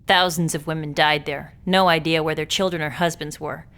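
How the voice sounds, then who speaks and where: Wry, low-voiced female agent. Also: fairly even intonation, moderate pace, fairly bright tone. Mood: matter-of-fact. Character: wry, well-read woman agent. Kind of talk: video game cutscene acting.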